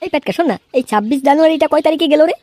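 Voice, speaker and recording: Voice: high voice, rapid-fire, heavy background noise, close to an everyday voice. An actor voicing a crude, boozy, irritable young layabout in a comedy cartoon, whining and swearing. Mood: puzzled, complaining.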